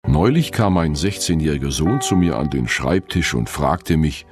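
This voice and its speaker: A man's voice: very deep voice, expressive, moderate pace, music in the background. A middle-aged man, an author, reading a wry, reflective first-person radio essay from a studio. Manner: reflective, wry, self-questioning.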